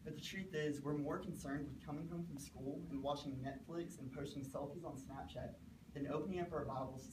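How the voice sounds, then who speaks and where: A man's voice: on the high side, background noise. Teen guy preaching a short sermon, earnest, picked up from across an echoey classroom. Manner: earnest, measured, speaks in short phrases. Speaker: student preacher. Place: classroom with a small stage, indoors. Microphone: far camera, no microphone. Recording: echoey room from far off.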